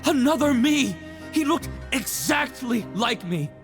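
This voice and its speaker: A distressed prisoner from an anime game. He pleads insistently, his pitch swinging widely, with music behind him. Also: expressive, a little slow, full character voice. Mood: panicked. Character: panicked male prison inmate, insisting he is sane. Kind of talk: anime video game voice acting. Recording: background music.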